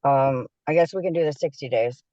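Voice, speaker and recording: A woman's voice: rumbling low, brisk, warm tone. Woman on a dull video call. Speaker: mother, party in a custody hearing. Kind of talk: plain, informal answers in court. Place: inside a car, on a video call.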